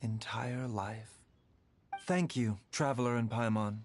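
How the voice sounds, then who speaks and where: A man voicing a gentle, courteous young doctor, composed and refined, in a video game. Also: medium pitch, fairly even intonation, slow, faint music behind. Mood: wistful. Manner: soft-spoken, polite, composed.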